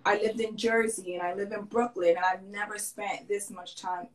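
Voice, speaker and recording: A woman's voice: mid-range voice, little pitch movement, moderate pace. A woman fashion designer who lived in Grenada as a child, talking earnestly in a casual interview and often restarting her sentences.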